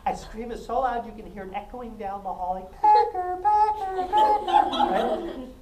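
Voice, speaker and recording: A woman giving a self-defense talk, animated and jokey, often laughing and acting out what people said. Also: on the high side, measured pace. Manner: animated, jokey, laughs a lot, acts out quotes. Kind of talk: lively storytelling talk to a class. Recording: echoey room, mic at a distance, faint noise.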